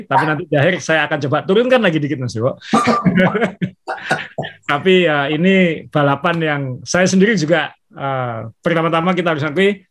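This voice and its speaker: A man co-hosting a casual podcast, giving a measured race analysis with frequent "eh" fillers. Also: on the high side, a bit bright. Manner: measured, fills pauses with "eh". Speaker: podcast co-host, racing analyst.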